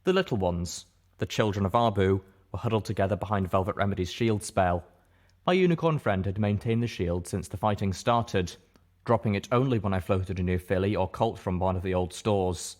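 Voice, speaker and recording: Low-voiced man narrating a story. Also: moderate pace, a little mellow. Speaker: audiobook narrator. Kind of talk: scripted first-person story narration.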